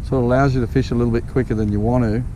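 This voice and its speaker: A man's voice: mid-range voice, fairly even intonation, very fast, dark tone. A middle-aged Australian man giving laid-back, colloquial running commentary as he fishes, recorded outdoors on a body-worn camera. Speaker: angler presenting a fishing video. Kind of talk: casual running commentary while fishing. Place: outdoors on a small boat on a river. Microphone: action camera worn on the body. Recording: noisy outdoor boat recording.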